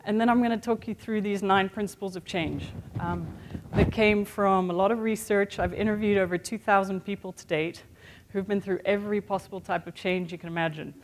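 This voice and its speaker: A woman's voice: mid-range voice, steady tone, brisk, a little hiss or hum. A woman with a mixed international accent gives a motivational keynote. She talks in a candid, chatty way, full of small fillers. The sound comes from a hotel conference room. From born in the United States, raised in Europe and Asia. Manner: conversational and candid, with many "sort of" and "you know" fillers. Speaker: keynote speaker.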